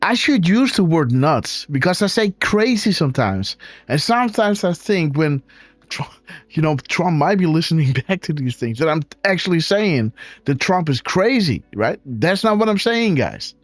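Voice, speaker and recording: A man with a Dutch accent hosting a live show, excitedly commenting on pictures and calling out to his viewers. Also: a little high, moderate pace, dry recording, faint music behind. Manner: excitable, exclaiming, talks to viewers as guys. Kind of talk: casual live-stream commentary on images.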